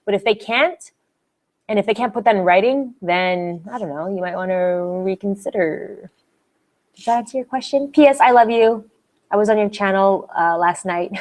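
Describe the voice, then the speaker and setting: A young woman from Canada hosting a live Q&A stream, chatty and bubbly, giggling often and restarting her sentences as she answers viewer comments. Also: medium pitch.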